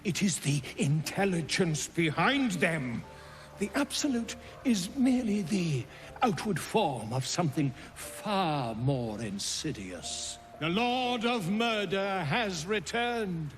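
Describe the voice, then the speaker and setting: A man's voice: high voice, very animated, takes it slowly, soft background music, heavily acted. A man playing an eloquent, courteous scholar in a video game, with precise, florid and dramatic phrasing. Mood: grave. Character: eloquent male scholar, courteous, theatrical, earnest. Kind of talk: cinematic video game acting.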